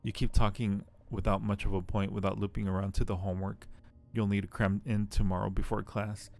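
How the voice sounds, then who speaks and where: A man streaming a game, reading the narration aloud and commenting casually in a low-key way as he thinks aloud. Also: little pitch movement, brisk, creaky, darkish tone. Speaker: live streamer playing a game.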